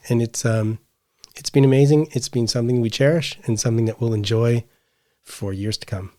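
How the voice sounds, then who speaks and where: A man's voice: mid-range voice, steady tone, a little fast, warm tone. A middle-aged man with a Canadian accent, chatting casually and telling rambling anecdotes as a podcast co-host. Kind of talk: casual chat.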